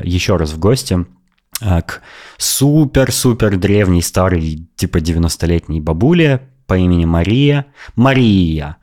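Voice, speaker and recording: A man hosting a podcast, telling a travel story in a lively, opinionated way in casual chat. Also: low voice. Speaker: podcast host.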